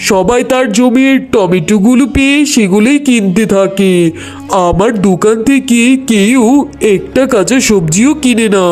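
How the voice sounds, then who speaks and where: A man's voice: high voice, unhurried, darkish tone, soft background music. A man voicing a jealous tiger shopkeeper in a children's cartoon, complaining plaintively. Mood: aggrieved.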